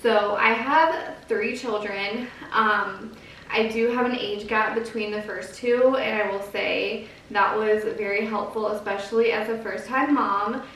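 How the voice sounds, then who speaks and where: A woman in her thirties, a home vlogger and mom, chatting casually in a soft, quiet, gentle voice. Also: medium pitch, steady tone, a little slow, slight background noise. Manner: gentle, earnest, chatty with fillers. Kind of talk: casual chat to camera. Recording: very echoey room, from a distance.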